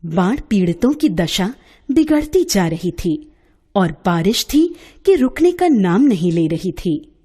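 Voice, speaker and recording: A woman's voice: mid-range voice, very animated, takes it slowly, mellow, slightly rough. A woman storyteller giving a scripted narration of a moral story.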